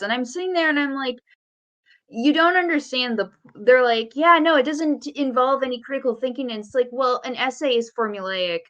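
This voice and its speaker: A woman talking casually as a podcast co-host, earnest and a bit halting as she argues her points. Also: a little high, fairly bright tone, dull audio. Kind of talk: casual speculative podcast chat.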